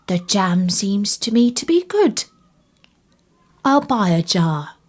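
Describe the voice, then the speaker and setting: A woman's voice: mid-range voice, sing-song, slow, a little mellow. A woman voicing a cheerful, boastful little male tailor from a storybook, with theatrical, self-important delivery. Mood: eager, appraising.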